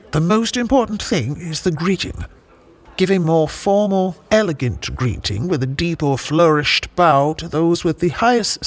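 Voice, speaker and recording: An actor playing a brisk, tactful director of a traveling theater troupe in an audio comedy, polite and instructive. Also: male voice, on the high side, full character voice. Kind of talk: audio drama comedy acting.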